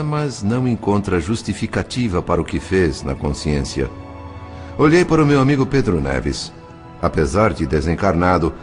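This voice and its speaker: Deep-voiced man reading a dramatic audiobook. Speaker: audiobook narrator. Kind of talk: scripted dramatic narration of a spiritist novel.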